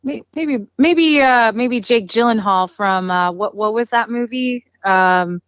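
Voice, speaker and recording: A woman's voice: fairly high pitch, measured pace, clear, resonant tone, no echo, muffled recording. A woman in her thirties, a guest talking casually about a friend, full of "like" and fillers and often laughing. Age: 30s. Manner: chatty, lots of "like" and laughter. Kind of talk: casual interview.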